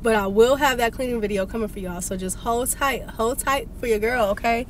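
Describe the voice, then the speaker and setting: A woman's voice: a little high, fairly quick, slight background noise. A young woman vlogger, sick and congested, telling a story animatedly and venting in a parked car, filmed on a phone. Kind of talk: casual vlog rant. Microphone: phone camera on dashboard.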